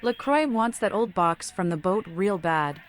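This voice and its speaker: A woman's voice: mid-range voice, lively intonation, measured pace, close to an everyday voice. A woman playing a mad vampire in a video game, speaking in eerie, oracular riddles over music. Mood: cryptic. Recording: music behind the voice.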